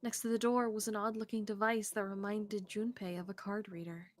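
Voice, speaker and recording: A woman's voice: mid-range voice, little pitch movement, brisk. A woman streamer reading a visual novel's narration aloud, with casual asides. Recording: faint background noise.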